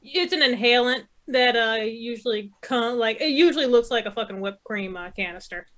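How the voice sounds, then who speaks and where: A woman's voice: fairly high pitch, normal speed, a bit bright. A woman in a casual group chat, speaking bluntly with plenty of swearing. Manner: blunt, profane. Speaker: co-host of a movie discussion show.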